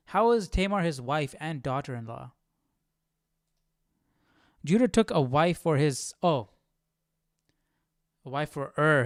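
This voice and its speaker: A man's voice: mid-range voice, clear, resonant tone, no room sound, studio quality. A man hosting a casual live Bible study, reading and puzzling aloud as he repeats phrases.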